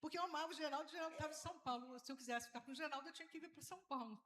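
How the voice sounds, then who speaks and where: A woman's voice: high voice, racing, fairly bright tone, a little hiss or hum. A woman pastor with a Carioca Brazilian accent, preaching conversationally, informal and repeating phrases for emphasis with frequent tag questions. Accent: Carioca Brazilian Portuguese. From Rio de Janeiro, Brazil. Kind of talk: conversational sermon to a congregation. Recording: roomy, echoing sound of a live talk.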